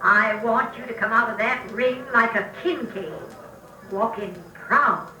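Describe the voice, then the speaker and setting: An actress playing a plainspoken, devout, proud old country granny with a rural American accent in a 1940s radio drama. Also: female voice, fairly high pitch. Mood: stern. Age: older. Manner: folksy, slow, earnest. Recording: roomy old radio-drama record with loud music.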